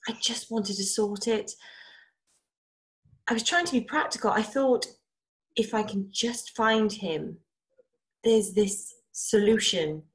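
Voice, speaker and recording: Actress as ailing daughter, slow, halting, earnest, pleading, practical, stage drama. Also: female voice, medium pitch, lightly acted. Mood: anxious, caring. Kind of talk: stage drama scene acting.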